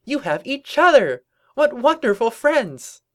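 A performer voicing a bubbly, excitable young female cartoon pony with gushing, exaggerated chatter in a story reading. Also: medium pitch, a little fast, pitched above the performer's own voice, natural voice. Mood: bubbly, cheerful.

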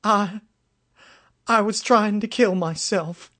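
A guilt-ridden little filly pony performed by a man from a storybook reading. The voice is lifted high and pushed, with a country drawl and a tearful, confessing manner. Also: steady tone, normal speed, lightly acted. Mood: anguished confession.

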